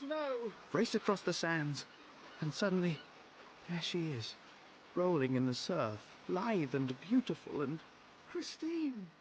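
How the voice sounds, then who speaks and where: An actor playing a distressed man who narrates his own story slowly and theatrically in a radio drama. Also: sits high, a little hiss or hum, exaggerated voice, rough recording. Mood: horrified, anguished. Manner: slow, theatrical, dramatic first-person telling. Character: distressed man narrating a remembered dream and grim discovery.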